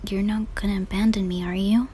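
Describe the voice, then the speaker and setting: A woman giving casual, slangy and excitable reaction commentary while playing through a game's story. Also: mid-range voice, fairly quick, breathy edge. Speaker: gamer reacting to story quest.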